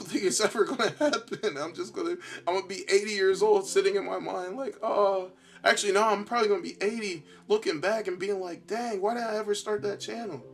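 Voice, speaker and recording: A man hosting a solo podcast in a tired, worn voice, thinking aloud casually and haltingly. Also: high-pitched, gravelly, a bit bright. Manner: halting, rambling, self-reflective. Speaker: podcast host.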